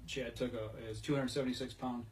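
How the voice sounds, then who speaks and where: A man's voice: mid-range voice, steady tone, fast talker. A man hosting a casual hunting talk show in a folksy, conversational way. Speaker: podcast host. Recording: distant mic, noticeable background noise.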